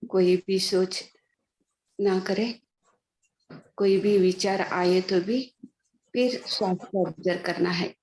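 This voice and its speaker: A woman teaching guided meditation, giving gentle step-by-step instructions with pauses. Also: mid-range voice, fairly even intonation, low-quality recording.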